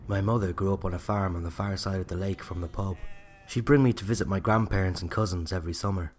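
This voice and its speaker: A man's voice: sits low, slight background noise. A man with an Irish accent narrating a scripted first-person story.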